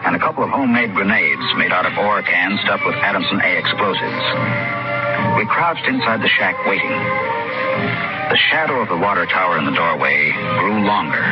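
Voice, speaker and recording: Low-voiced radio drama narrator. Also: male voice, lively intonation, moderate pace. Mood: tense, measured. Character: grown man, reflective narrator recalling his frontier boyhood. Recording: muffled old radio recording. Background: loud dramatic music.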